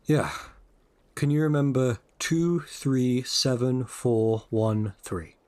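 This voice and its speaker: An actor playing a calm, assured, persuasive guide in a sci-fi audio drama, speaking in a low-key, coaxing way. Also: male voice, slow, close to an everyday voice. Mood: gently persuasive. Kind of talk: full-cast audio drama acting.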